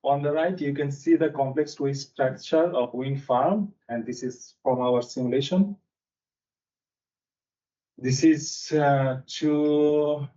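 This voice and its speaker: Halting man giving a technical lecture. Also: mid-range voice, mellow. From Bangladesh. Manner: halting, frequent fillers. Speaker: seminar speaker. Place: conference room. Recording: distant mic, dull sound.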